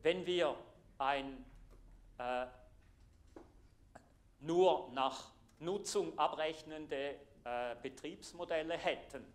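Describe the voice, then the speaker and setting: Swiss man from Thurgau giving a talk. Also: mid-range voice. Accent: Swiss German (Thurgau). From Thurgau, Switzerland. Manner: earnest, explanatory, pausing with fillers. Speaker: IT architect giving a conference talk. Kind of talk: conference presentation. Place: lecture room, standing before a projected slide.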